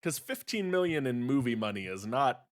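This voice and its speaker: A man's voice: mid-range voice, big pitch swings, moderate pace. A man chatting casually as a comedy podcast panelist, with a wry, nitpicking way of talking.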